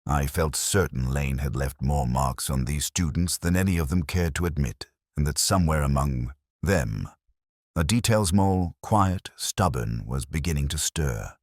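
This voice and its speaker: A man playing a brooding, introspective older police detective, narrating a mystery in a measured, solemn, literary way. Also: a bass voice, animated, no room sound, studio quality. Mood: brooding, reflective. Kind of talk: scripted first-person mystery narration.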